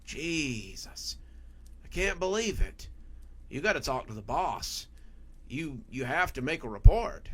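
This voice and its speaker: A man voicing a frightened, insistent mall worker in a horror story, urgent and halting as he gives warnings. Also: on the high side, big pitch swings, breathy edge, voice pushed up, heavily acted. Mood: alarmed, insistent. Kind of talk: audiobook horror story dialogue.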